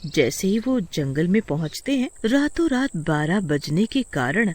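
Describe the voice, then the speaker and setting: A man's voice: high voice, big pitch swings, unhurried, a little mellow. A man narrating a scripted horror story in a dramatic storytelling style.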